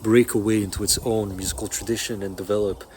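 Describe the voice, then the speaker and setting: Low-voiced young man, earnest and rambling. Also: level tone, quick pace, darkish tone, slight background noise. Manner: earnest, rambling, emphatic, with many restarts. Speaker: music history presenter. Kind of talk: casual explanatory talk to camera. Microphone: phone camera at arm's length.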